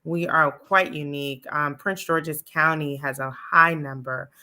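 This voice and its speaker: A woman's voice: sits low, fairly even intonation, unhurried, bright, forceful tone. A woman from the United States, an attorney guest, answering interview questions in a talkative way with frequent ums.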